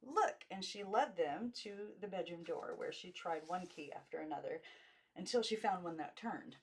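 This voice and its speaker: A woman reads a story aloud in the manner of a home audiobook narrator. Her voice sits a little low for a woman as she delivers the scripted narration. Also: moderate pace, a little mellow. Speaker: amateur audiobook reader.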